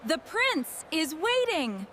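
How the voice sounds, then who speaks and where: Woman acting gentle cartoon female, high lively voice, careful enunciation, English lesson storybook. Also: slow pace, over loud music, exaggerated voice. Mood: expectant. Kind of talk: slow scripted English lesson story dialogue.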